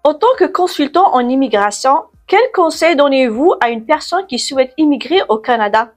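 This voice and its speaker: A woman with a fairly high voice hosts the show. She reads a formal, scripted introduction and puts questions to her guest. Soft music plays faintly behind her. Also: expressive, normal speed. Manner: formal, read-out welcome. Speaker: host.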